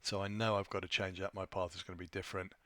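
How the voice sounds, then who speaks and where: A man presenting an informal software tutorial, thinking aloud in a somewhat hesitant way as he demonstrates. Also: sits low, flat delivery, quick pace, no room sound. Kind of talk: informal screen-share walkthrough.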